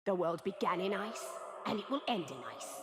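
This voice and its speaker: A woman's voice: medium pitch, natural voice. A woman voicing an ancient, merciless ice queen sorceress in a video game, menacing and coldly triumphant. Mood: cold menace. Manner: menacing, imperious, slow declarations. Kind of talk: video game character voice lines.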